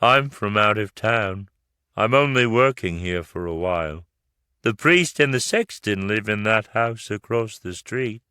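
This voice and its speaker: A man's voice: lowish voice, animated, unhurried, pushed and bright, smooth, no room sound, clean studio sound. A man reading a storybook, voicing a polite, unsure working man.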